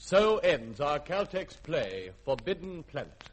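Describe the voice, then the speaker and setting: A man's voice: a little high, very animated, a little slow, very noisy. A man announcing as a formal, theatrical radio compere in 1950s style.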